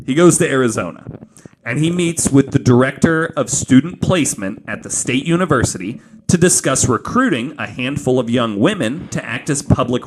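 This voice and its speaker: A man's voice: mid-range voice, a little hiss or hum, low-quality recording. A man hosting a podcast, telling a story in an informal, joking way with some swearing. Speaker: podcast host telling a true crime story. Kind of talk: casual storytelling from notes.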